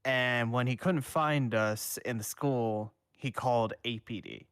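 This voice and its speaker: A man's voice: mid-range voice, a little slow, fairly bright tone, smooth, no echo, studio quality. A man reading as a wry teenage ghost boy.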